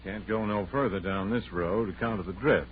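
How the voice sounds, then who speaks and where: An actor playing a folksy rural sleigh driver with rustic, ungrammatical speech in a 1940s radio drama. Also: male voice, lowish voice, normal speed, natural voice. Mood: reassuring. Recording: noisy, tinny 1940s radio recording.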